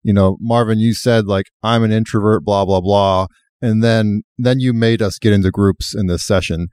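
A man co-hosts a podcast, chatty and self-deprecating. His voice is fairly low and sits close and dry on the mic. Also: steady tone, normal speed, darkish tone, no room sound. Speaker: podcast co-host. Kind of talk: casual podcast hosting.

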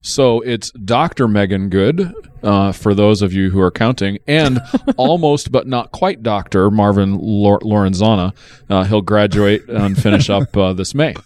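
Lower-voiced joking podcast host man. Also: darkish tone. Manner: jokey, quick to laugh. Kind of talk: casual podcast hosting.

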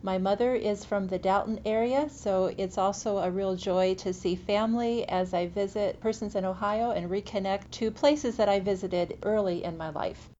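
A woman speaks earnestly as a podcast guest, introducing her church relations work. Her voice comes through a dull, lo-fi line with a faint rumble underneath. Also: fairly even intonation, normal speed, hardly any pauses, mellow. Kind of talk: podcast interview.

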